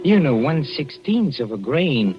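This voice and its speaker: An actor playing a jealous, menacing husband in a 1940s radio suspense drama, speaking in silky, mocking endearments with hesitant, drawn-out phrasing. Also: male voice, fairly high pitch, very animated, faint music behind. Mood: gloating menace. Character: jealous, menacing husband calmly poisoning his wife. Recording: muffled, noisy old radio broadcast.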